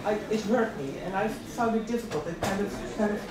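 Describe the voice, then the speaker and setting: A woman's voice: medium pitch, fairly quick. Middle-aged woman speaker in a very echoey hall. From Netherlands. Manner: conversational, repeats key words for emphasis. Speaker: invited speaker at a career event. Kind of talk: personal motivational talk with slides. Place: large room at an employment event. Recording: echoey hall, distant mic, audience noise.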